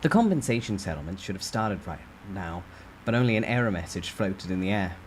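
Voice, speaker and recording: Low-voiced man narrating a dramatic story. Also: very animated, brisk, a little hiss or hum.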